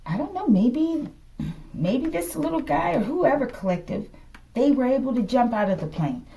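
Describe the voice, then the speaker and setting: A woman with an American accent and a soft-edged, mellow voice gives an off-the-cuff card reading. She muses aloud and keeps checking in with her viewers. The microphone sits a little away from her. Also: high voice, sing-song, normal speed, far from the mic. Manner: musing, often checks in with okay. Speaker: tarot reader. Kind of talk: casual improvised card reading addressed to viewers.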